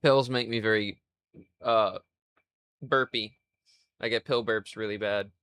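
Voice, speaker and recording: Twenties guy rambling on stream, smooth clean voice, cursing and joking about himself. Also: fairly low pitch, steady tone, a little slow, fairly bright tone, no echo. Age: 20s. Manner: rambling, crude and self-mocking. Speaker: livestreamer. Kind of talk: casual livestream chat.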